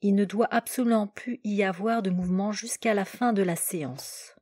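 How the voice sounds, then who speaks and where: A woman leading a guided relaxation meditation as a yoga nidra instructor, giving calm, measured instructions in short, separated phrases. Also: medium pitch, breathy edge, no echo, studio quality.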